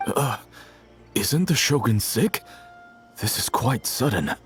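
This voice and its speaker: Slow, hesitant, bewildered townsman. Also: medium pitch, expressive, faint music behind. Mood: confused, uneasy. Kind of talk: video game voice acting.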